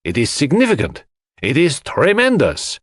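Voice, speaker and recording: A man voicing a fussy, theatrical middle-aged detective in an audiobook, emphatic and precise with dramatic pauses. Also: mid-range voice, huge pitch swings, a bit bright, no echo, studio quality, pitched above the performer's own voice. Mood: excited, precise. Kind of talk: audiobook character acting.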